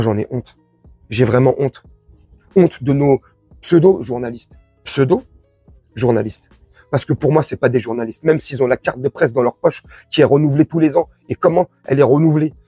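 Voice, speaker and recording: A man from France with a husky voice, giving an informal, crude and sarcastic opinion rant to his followers as an online commentator. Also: medium pitch, mellow, no echo. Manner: crude, slangy, sarcastic, indignant.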